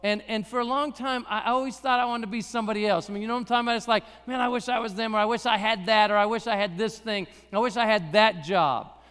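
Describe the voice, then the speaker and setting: A man preaching a sermon in a church with a raspy voice, talking conversationally with self-deprecating humor. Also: sits high, steady tone, fast, hardly any pauses, fairly bright tone, in a large hall. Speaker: guest preacher.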